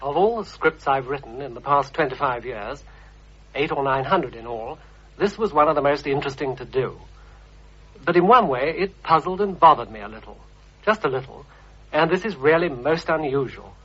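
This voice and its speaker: A middle-aged man reading a scripted radio essay in a measured, reflective manner. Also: medium pitch, lively intonation, fairly bright tone, slightly rough. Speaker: programme writer and presenter. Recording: muffled vintage radio recording.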